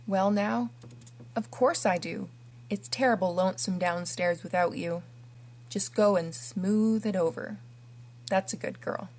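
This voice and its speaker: Woman reading a timid elderly farmer, hushed and hesitant. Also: mid-range voice, measured pace. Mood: coaxing. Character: shy, kindly elderly male farmer.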